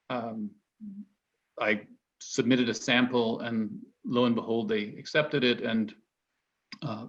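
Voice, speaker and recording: A man from Texas, a translator speaking as a guest in a relaxed conversation. He pauses often, trails off and restarts his sentences. The sound is muffled and lo-fi. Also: mid-range voice. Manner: hesitant, many restarts and ums. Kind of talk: casual panel conversation.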